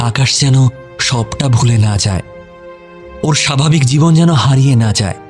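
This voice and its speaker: A man tells a scripted story in a mid-pitched voice. His delivery is measured and literary, broken by dramatic pauses, with music playing faintly behind him. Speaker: story narrator. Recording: music bed under narration.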